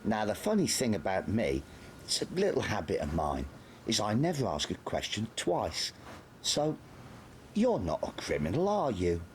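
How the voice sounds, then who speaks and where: A man's voice: fairly low pitch, huge pitch swings, moderate pace, a little hiss or hum, voice pushed up. A man playing a grinning, menacing sergeant. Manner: smooth, menacing, mock-friendly. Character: menacing, grinning male sergeant, a sly bully. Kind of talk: audiobook character voice.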